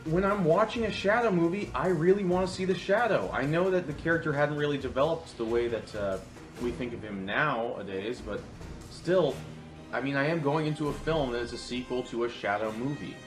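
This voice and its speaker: A man's voice: medium pitch, fairly quick. A man hosting a comedic scripted film review, speaking in a sarcastic, mock-dramatic way. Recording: roomy echo with music underneath.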